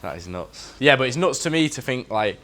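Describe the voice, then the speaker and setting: A man's voice: medium pitch, big pitch swings, fairly quick, fairly bright tone. A man, chatting casually as a guest while playing pool, with lots of "like" and "um". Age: 20s. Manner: laid-back, lots of "like" and "um". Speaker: guest coach. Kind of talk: casual chat over a game of pool.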